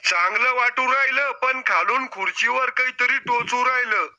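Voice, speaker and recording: A man's voice: normal speed, bright, forceful tone, highs cut off, heavily acted. A man voicing a cunning, troublemaking old village grandfather in a cartoon, talking in a blunt, rustic, boastful way. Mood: scheming. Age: older. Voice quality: high squeaky cartoon voice. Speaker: game show contestant. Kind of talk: cartoon comedy acting.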